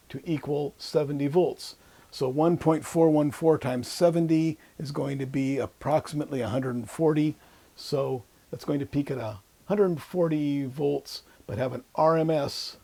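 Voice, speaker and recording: Older male instructor, mellow and gravelly, informal explanatory lecture delivery. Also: a little high. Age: 60s or older. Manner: informal, thinking aloud while drawing. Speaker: electronics instructor. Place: small indoor room at a whiteboard. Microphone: lapel mic.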